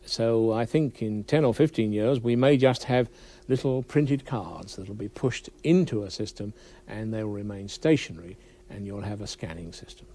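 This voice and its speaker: A man giving a measured technical explanation as an interviewed audio expert. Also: fairly low pitch, warm tone.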